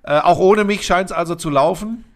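Opinionated man in his fifties. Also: sits high. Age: 50s. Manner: opinionated, teasing, quick asides. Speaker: podcast co-host. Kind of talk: casual sports chat.

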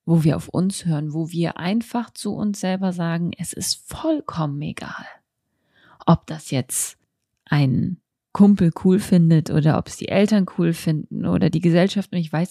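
A woman's voice: lowish voice, gravelly, mellow, dry recording, studio quality. A thirty-year-old woman hosting a podcast, speaking in a reflective, candid, unscripted personal monologue. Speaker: podcast host. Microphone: handheld microphone, close.

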